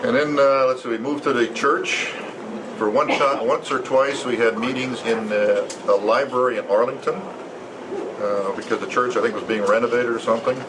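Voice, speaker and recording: An older man reminiscing informally to a gathering as a long-time club member, halting and pausing as he goes. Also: medium pitch, fairly even intonation. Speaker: founding member of a hobby club. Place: restaurant luncheon room. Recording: noisy room, air conditioning hum.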